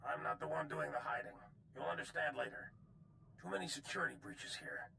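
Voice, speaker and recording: An actor playing a secretive military general in a video game, clipped and guarded. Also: male voice, on the high side, a little fast, gravelly, bright, forceful tone, a little hiss or hum, heavily acted, low-quality recording.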